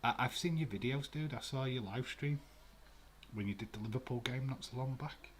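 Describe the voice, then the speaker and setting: A man's voice: mid-range voice, normal speed. A man with a Glasgow accent hosting a live watch-along stream from a room at home, chatting casually with viewers in a rambling way with many fillers. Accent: Glaswegian. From Scotland.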